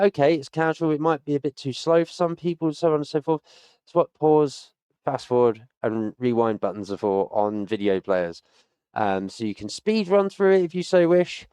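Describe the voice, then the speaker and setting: A man's voice: a little high, dark tone, smooth, no room sound. A British man in his late thirties, a streamer chatting casually to his viewers in a rambling way, with many fillers and stock phrases. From United Kingdom. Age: 30s. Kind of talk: casual sign-off chat to viewers.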